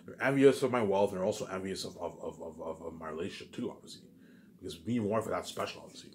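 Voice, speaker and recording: A man's voice: low-pitched, fast, a little mellow. A man giving a rambling, casual monologue as a video maker, insistent, boastful and often repeating himself. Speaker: video maker talking to viewers.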